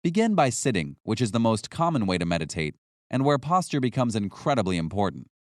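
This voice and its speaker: Man narrating with lively, varied intonation. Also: lowish voice, fairly quick, no echo, studio quality. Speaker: audiobook narrator. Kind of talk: scripted nonfiction audiobook narration.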